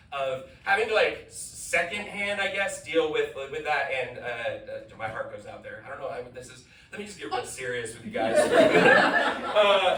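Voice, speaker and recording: A man's voice: on the high side, sharp tone, a little hiss or hum. Young man doing stand-up in a roomy club. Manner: rambling, self-deprecating, many fillers and restarts. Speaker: stand-up comedian. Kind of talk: stand-up comedy set. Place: comedy venue with live audience. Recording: live comedy club, roomy and distant.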